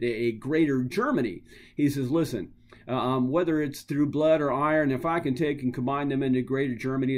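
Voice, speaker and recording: A man's voice: a little high, a little mellow. A man giving an informal history lecture as a teacher, emphatic and dramatic in his storytelling, repeating phrases for effect and acting out quotes.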